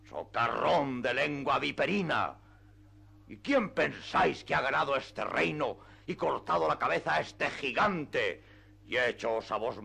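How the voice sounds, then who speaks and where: Deluded old knight for a radio play, raspy and creaky, pompous archaic declaiming. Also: male voice, mid-range voice, sharp tone, exaggerated voice. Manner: solemn, declamatory, archaic speech. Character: grandiose, hot-tempered old knight-errant, chivalrous and deluded. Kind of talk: theatrical radio drama acting.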